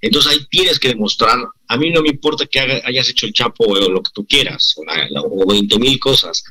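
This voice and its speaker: A bright-toned man in his forties with a Mexican accent talks over a video call, earnest and reflective. He restarts phrases often as he works through his thoughts. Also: medium pitch, lively intonation, brisk, rough recording. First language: Spanish. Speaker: actor guest. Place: indoor room.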